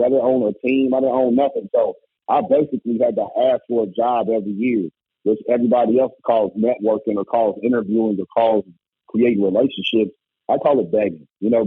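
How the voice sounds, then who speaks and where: Loud guy from indiana, gravelly voice, kinda husky, sounds like a phone call. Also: mid-range voice, no echo. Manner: animated, emphatic storytelling. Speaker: guest, former college basketball coach turned musician. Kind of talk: casual conversation. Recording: tinny phone-like line, dry.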